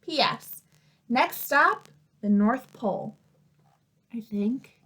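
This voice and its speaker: A globe-trotting crayon from a picture book, put on by a woman. It is breezy and cheerfully clueless. The voice is high, with a leisurely pace. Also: lively intonation, takes it slowly, a bit bright.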